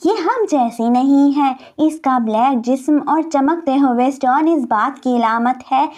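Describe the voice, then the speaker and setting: A woman's voice: high-pitched, unhurried, a little mellow. A woman voicing a stern elder female sea creature, an authoritative cartoon leader who speaks commandingly. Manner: commanding, severe.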